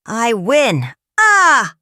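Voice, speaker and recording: An ungrateful cheat of a pirate from a children's storybook, played by a woman reader. He is excitable and yelping, high in pitch, in a dry recording. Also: extremely slow, no room sound, exaggerated voice. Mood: triumphant, startled.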